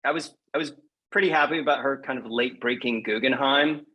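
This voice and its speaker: A man speaking as a guest poet in a measured, reflective, slightly hesitant way, as at a reading or interview. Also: mid-range voice, husky, muffled recording. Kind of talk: poetry reading and conversational interview.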